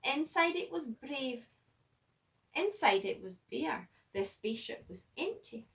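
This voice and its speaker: A woman reading a children's picture book aloud like a teacher, expressively, with dramatic pauses and emphasis. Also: high voice, big pitch swings, vocal fry, fairly bright tone, distant mic. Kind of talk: animated children's storybook read-aloud.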